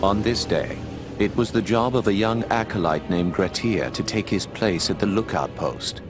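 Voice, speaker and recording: An older man playing a wise, gruff old owl mentor in a video game, speaking in a measured, formal way. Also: lowish voice, over music, lightly acted. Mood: solemn storytelling. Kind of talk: scripted dramatic narration and game dialogue.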